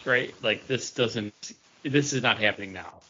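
A man with an average-pitched voice talks as a podcast co-host. He debates casually, puzzling aloud and questioning as he goes. The sound is a little lo-fi. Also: measured pace, bright, forceful tone, slightly rough. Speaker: podcast co-host reviewing comics.

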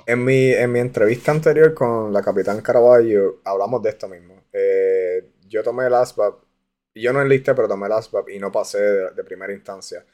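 A man's voice: lowish voice, brisk, warm tone. Man, podcast host, explains for listeners, easy laugh. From Puerto Rico. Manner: explains to listeners, asks guest to go on, laughs easily. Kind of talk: casual interview.

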